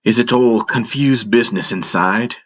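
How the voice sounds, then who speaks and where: A man playing a gruff, joking, hot-headed spaceship pilot with rough, folksy old-salt talk in an audio drama, over music. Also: mid-range voice, normal speed, lo-fi sound, voice pushed up, lightly acted. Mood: brisk. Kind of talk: audio drama character acting.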